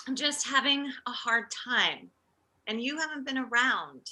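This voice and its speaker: A woman acting an excitable, jealous, meddling middle-aged wife and mother in a comedy script read, blunt and needy. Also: high-pitched, a little slow, slight background noise, heavily acted. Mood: anxious, conciliatory.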